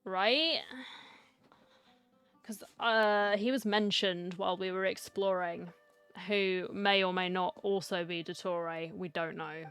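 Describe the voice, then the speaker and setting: A woman's voice: on the low side, fairly even intonation, slow pace, a bit bright, smooth, soft background music. A woman giving casual playthrough commentary as a streamer, with chatty asides and game text read aloud. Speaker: gaming streamer.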